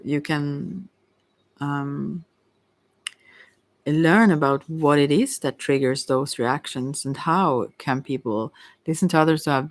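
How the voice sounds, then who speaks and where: A tarot reader giving an informal ASMR reading in a hesitant, rambling way with many pauses. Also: medium pitch, dry recording. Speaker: Ayurveda therapist.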